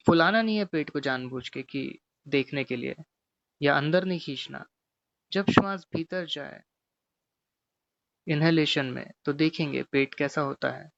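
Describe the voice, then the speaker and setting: A man teaching guided meditation, giving gently repetitive, step-by-step instructions. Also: on the high side, creaky, dry recording, dull audio.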